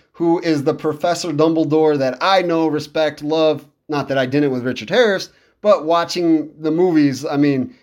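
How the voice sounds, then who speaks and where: Guy in his 30s just chatting away about stuff he likes, kinda high voice for a man, podcast host vibe, into it. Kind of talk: casual solo commentary on films and shows.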